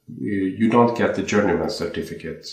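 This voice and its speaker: A young man from Sweden talks about his watchmaking career in an easy, halting way, restarting and repeating words as he thinks. His voice sits very low. The sound bounces around a home room. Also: creaky, darkish tone. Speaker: watchmaker guest. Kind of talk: casual interview chat. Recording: roomy home interview.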